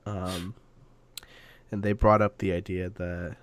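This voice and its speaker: A man chatting casually as a podcast co-host, halting and often repeating himself. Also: deep voice, flat delivery.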